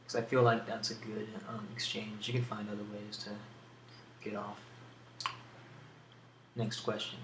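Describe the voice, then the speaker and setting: A soft-spoken man answering questions in a casual ASMR style, with a hesitant, musing delivery and pauses between thoughts. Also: fairly low pitch, monotone. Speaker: ASMR video creator answering a question tag. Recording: roomy echo with faint background hiss.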